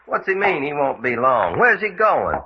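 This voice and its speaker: An actor playing a folksy, hot-tempered Texan adventurer in a radio mystery, with a rustic drawl and scrappy manner. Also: male voice, a little high, wildly swooping pitch, normal speed. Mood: grumbling. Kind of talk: old-time radio mystery acting. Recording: dull lo-fi radio recording with noise.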